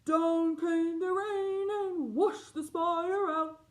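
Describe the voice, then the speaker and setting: Woman singing as a great big spider. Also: steady tone. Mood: grand. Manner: slow, booming sing-song.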